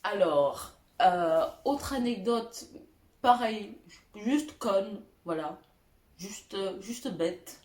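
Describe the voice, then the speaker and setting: A young woman telling funny childhood stories to her viewers, at a middle pitch. She laughs at herself and often pauses on fillers. The sound is roomy and echoey. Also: lively intonation, a little mellow. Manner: self-mocking, giggly, many fillers. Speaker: YouTuber. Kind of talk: storytelling vlog.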